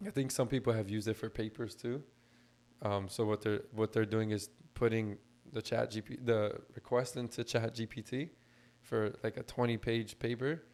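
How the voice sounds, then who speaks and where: Man, podcast co-host, a bit hoarse, level monotone delivery, relaxed and chatty, lots of ums and likes. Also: lowish voice, normal speed. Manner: laid-back, explains with many fillers. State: hoarse, recovering lost voice. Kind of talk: casual podcast chat.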